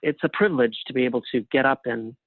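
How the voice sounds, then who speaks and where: A man giving casual interview answers as a guest over a phone line, in a halting way with frequent restarts. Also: medium pitch, dry recording. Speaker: guest, playwright and librettist. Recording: thin phone-line sound.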